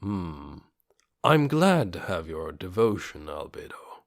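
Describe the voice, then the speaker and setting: A deep, hushed undead skeleton lord performed by a male audiobook reader. He speaks in a measured mutter, like a weary ruler keeping his doubts to himself. The recording is dry and close. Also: very animated, no echo, exaggerated voice. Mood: composed. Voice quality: low, hushed. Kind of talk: audiobook character voice.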